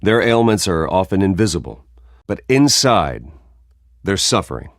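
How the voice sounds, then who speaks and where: An actor playing a composed, earnest billionaire heir who speaks in measured oratory and can turn defiant, in a video game. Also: male voice, deep voice, big pitch swings, dry recording, clean studio sound. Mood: solemn, earnest. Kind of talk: video game voice acting, public speeches.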